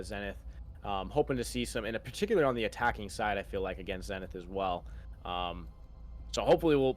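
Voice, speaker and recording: Upbeat man casting a shooter match. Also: on the low side. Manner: upbeat, stretches words, repeats himself mid-sentence. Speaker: esports caster. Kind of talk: live esports commentary.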